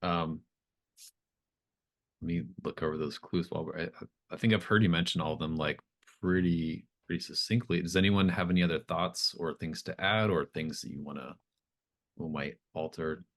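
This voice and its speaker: A man with a low voice runs a tabletop roleplaying game. He talks casually and haltingly, thinking aloud and checking in with his players as he goes. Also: steady tone. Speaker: game master of a tabletop RPG. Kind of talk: casual, unscripted game running.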